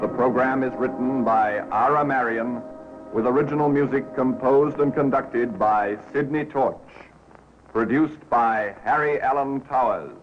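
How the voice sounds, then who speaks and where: Male announcer, medium pitch, formal measured delivery, muffled vintage radio, clear music behind. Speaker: credits announcer. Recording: muffled old radio recording.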